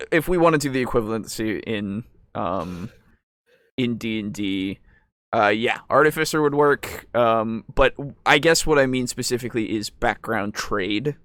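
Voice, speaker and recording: A man with a bright, forward voice in a dry recording hosts a casual gaming discussion. He thinks out loud, halting and rambling, and stays agreeable. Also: mid-range voice, clear, resonant tone, dry recording. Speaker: podcast host. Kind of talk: casual chat about tabletop role-playing.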